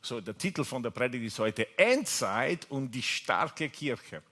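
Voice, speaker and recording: A man's voice: mid-range voice, sing-song, measured pace, a bit bright. A man from Italy with an Italian accent, preaching a sermon while seated, chatty and full of fillers and restarts. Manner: chatty, many fillers and restarts, jokes with the congregation. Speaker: pastor. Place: church hall. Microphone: seated preacher on stage mic.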